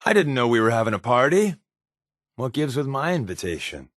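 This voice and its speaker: An actor playing a wry, secretive spy in a video game, with a glib, offhand, conversational delivery. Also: male voice, mid-range voice, very animated, a little fast, fairly bright tone, no echo, studio quality. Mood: sarcastic. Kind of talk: video game companion dialogue.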